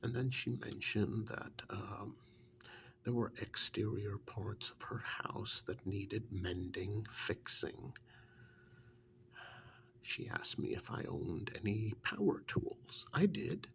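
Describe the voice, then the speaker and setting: A man voicing a witch's loyal, plain-spoken assistant in a soft-spoken ASMR role-play, half-whispering and telling his story in a halting, matter-of-fact way. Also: on the low side, slight background noise, heavily acted. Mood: calm, fond reminiscing. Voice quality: soft, breathy half-whisper with gravelly fry.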